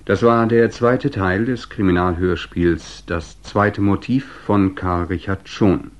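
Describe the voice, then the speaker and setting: Low-voiced male radio announcer. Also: steady tone, slow pace, slight background noise. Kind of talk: 1960s scripted radio announcement.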